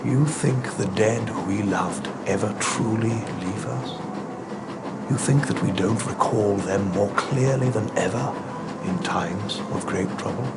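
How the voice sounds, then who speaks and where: Guy playing a gentle old wizard headmaster, slow n grave, loud music under him. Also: lowish voice, lightly acted. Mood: grave, consoling. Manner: measured, kindly, grave. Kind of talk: video game voice acting.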